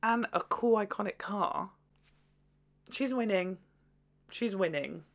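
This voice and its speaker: A woman's voice: medium pitch, slow, gravelly. A woman hosting a casual, chatty video review, gushing and jokey with sweary asides. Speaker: video host.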